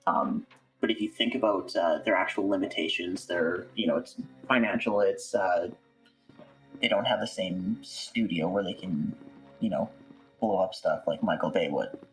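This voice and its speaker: A man with a low, deep voice talks casually as an interview guest. He speaks haltingly, doubling words for emphasis, with music playing faintly behind him. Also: rough recording. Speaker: interview guest, audiobook voice actor.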